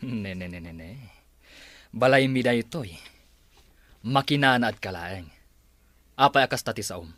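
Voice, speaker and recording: A man with an Ilocano accent playing a gruff, entitled, bossy man of the house in a radio drama. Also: on the low side, lively intonation, a little slow, pauses often, a bit bright, no echo. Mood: dismissive.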